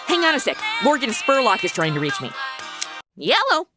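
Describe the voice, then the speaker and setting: A woman's voice: high voice, huge pitch swings, moderate pace, music in the background. A woman playing a flippant, chatty, clueless apprentice angel in an audio comedy, talking in casual slang.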